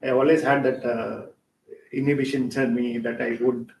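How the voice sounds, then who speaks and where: A man introducing himself as a first-time guest at a speaking club, nervous and filling pauses with uh and um. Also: level tone, vocal fry. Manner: nervous, filler-heavy. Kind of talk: self-introduction at club meeting. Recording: echoey hall, distant mic, faint rumble.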